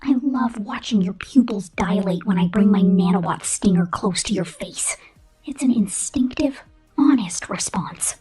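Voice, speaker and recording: Woman voicing J, a breathy, domineering robot boss. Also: medium pitch, expressive, mellow, faint music behind, poor audio. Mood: cold, menacing. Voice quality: breathy, whispery. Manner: condescending, menacing, slow deliberate delivery slipping into whispers. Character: domineering, vain female robot corporate boss with contempt for humans. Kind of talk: ASMR roleplay monologue, scripted.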